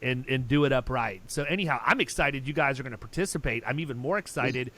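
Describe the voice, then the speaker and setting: A man's voice: medium pitch, fast talker, bright, forceful tone. A man hosting a podcast, telling stories in a blunt, emphatic and talkative way, often restarting his sentences. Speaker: podcast host. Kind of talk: casual podcast chat.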